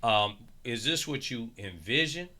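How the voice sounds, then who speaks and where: A man's voice: mid-range voice, expressive, unhurried, bright, forceful tone. A man hosting a casual interview, asking questions in an emphatic way. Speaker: host.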